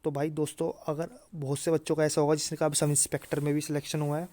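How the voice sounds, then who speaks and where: Higher-pitched man explaining informally, dry sound. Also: level tone, quick pace, mellow, dry recording. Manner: repetitive check-in tags, emphatic repetition. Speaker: exam-career video presenter. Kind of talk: informal explainer addressed to students.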